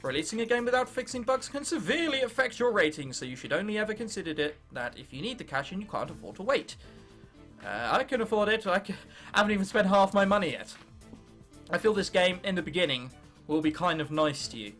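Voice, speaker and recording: Young male gamer, English accent, animated swooping delivery, jokey and sweary, high voice, music behind. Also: quick pace. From England. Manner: jokey, sweary, self-interrupting. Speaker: gaming video host. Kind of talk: casual let's play commentary, reading game text aloud.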